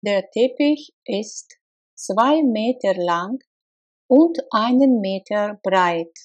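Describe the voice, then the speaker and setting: A woman with a mid-pitched voice reads practice sentences at a very slow, careful pace, leaving clear gaps between the words. The recording sounds a little dull and lo-fi. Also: animated, darkish tone. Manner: slow, deliberate, clearly spaced repetitions. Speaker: language teacher. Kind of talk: slow repeated phrase drills.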